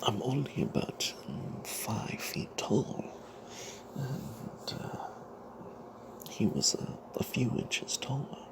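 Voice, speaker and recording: A man telling a fantasy tabletop tale in a breathy ASMR whisper, halting with long pauses. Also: medium pitch, big pitch swings, noisy background.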